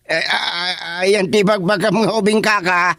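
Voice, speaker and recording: A man's voice: high-pitched, fairly even intonation, moderate pace, sharp tone, dry recording, studio quality. A man playing a flustered, put-upon village official in a rural comedy radio drama, stammering and exasperated.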